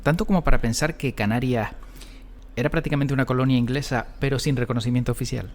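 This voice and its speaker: A man's voice: mid-range voice, fairly even intonation, quick pace. A man working as an interviewer, putting questions to a guest.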